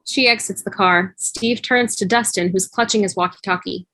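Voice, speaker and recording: A woman's voice: mid-range voice, normal speed, sharp tone, dry recording, rough recording. A woman reading screenplay stage directions as scripted narration for a table read.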